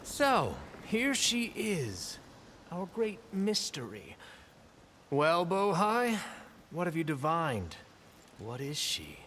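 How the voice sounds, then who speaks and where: A grandiose cult leader in a video game, very self-assured. His voice is high and swoops theatrically, with slow, pompous delivery. There is faint ambience behind it. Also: huge pitch swings, pushed and bright, slight background noise, full character voice. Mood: smug, intrigued. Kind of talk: video game cutscene acting.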